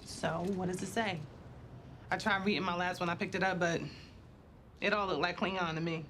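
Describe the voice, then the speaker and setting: A woman's voice: fairly low pitch, fairly even intonation, normal speed, a bit bright, slight background noise, lightly acted. An actress playing an exasperated, determined, plain-spoken woman in naturalistic screen dialogue. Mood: exasperated, insistent.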